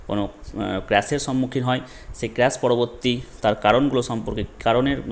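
A man speaks as an educational presenter in a mid-pitched voice. He explains step by step with emphasis, often restating points and dropping in English technical terms. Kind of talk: explanatory lesson for online viewers.

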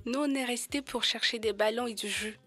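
A woman's voice: on the high side, fairly quick, fairly bright tone, breathy edge, dry recording, soft background music. A woman voicing a small, friendly, earnest young elephant in an educational cartoon. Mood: confiding.

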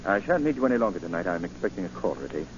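An actor playing a composed, guarded, wealthy gentleman sleuth in 1940s radio acting style. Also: low voice, big pitch swings, very fast. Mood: controlled. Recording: noisy, muffled 1940 radio recording.

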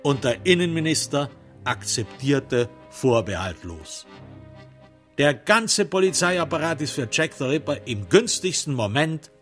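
A man's voice: medium pitch, a bit bright. A man narrating a literary crime radio play in a sardonic, theatrical storytelling manner.

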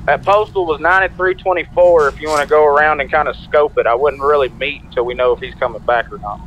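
Fast, higher-pitched man over car noise. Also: highs cut off. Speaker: player in a police role-play game. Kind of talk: casual radio-style coordination in game. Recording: background car and street noise.

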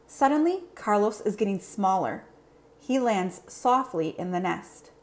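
A woman reading a children's picture book aloud in an expressive, simple storytelling manner. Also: mid-range voice, a little slow. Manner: clear, simple, expressive delivery for young children.

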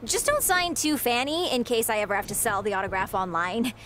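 A woman voicing an excitable, hyper fangirl wrestler in a video game. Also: fairly high pitch, brisk, a little hiss or hum. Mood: giddy. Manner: bubbly, hyper, squealing.

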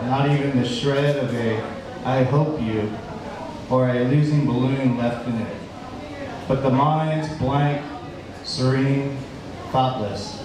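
A middle-aged man reciting poetry live, with deliberate, dramatic phrasing broken into short bursts. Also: mid-range voice, far from the mic. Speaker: spoken-word poet. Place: small indoor stage at a live venue. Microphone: handheld microphone through PA, filmed from audience. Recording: echoey live venue PA with noise.

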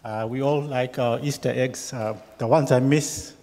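A man from Zimbabwe, relaxed and a little hesitant, interviewed on stage with a handheld mic in a church. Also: mid-range voice, measured pace, slight background noise. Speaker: church member. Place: large church hall. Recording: reverberant church hall.

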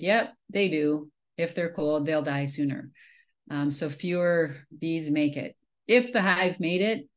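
A woman from Minnesota giving a slide presentation on a video call, talking casually with many fillers and restarts. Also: low-pitched. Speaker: beekeeping presenter. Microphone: webcam on a video call.

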